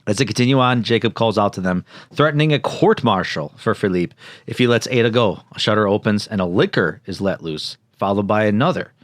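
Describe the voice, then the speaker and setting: A man's voice: fairly low pitch, brisk, no echo, studio quality. A man co-hosting a podcast, reading out a plot summary and dropping in joking asides. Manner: jokey, interjects and riffs. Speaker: podcast co-host.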